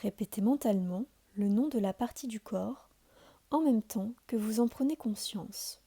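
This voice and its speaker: A woman giving calm, soothing guided meditation instructions as a yoga nidra teacher, in a deliberate, measured delivery. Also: fairly high pitch, slightly rough.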